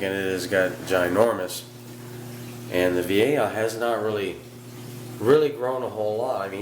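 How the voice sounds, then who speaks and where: A man with a hoarse, sick-sounding voice, casually narrating footage of his aquarium at home with fillers and restarts. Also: lowish voice, roomy sound, slight background noise, poor audio. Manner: relaxed, rambling, with fillers and restarts. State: hoarse from illness. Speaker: aquarium hobbyist channel host.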